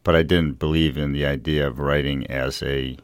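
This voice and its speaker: The American author in a radio studio, middle-aged, reflective and hesitant, rumbling very low and close in a dry room. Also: male voice, fairly even intonation, moderate pace, clear, resonant tone, no echo. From Revere, Massachusetts, USA. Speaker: novelist being interviewed. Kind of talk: conversational interview.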